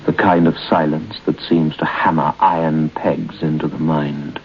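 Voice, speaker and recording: A man narrating an old radio ghost story in a deliberate, ominous way with weighty pauses. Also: a bass voice, steady tone, creaky, full character voice. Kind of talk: scripted old time radio horror narration. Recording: muffled old radio recording with hiss.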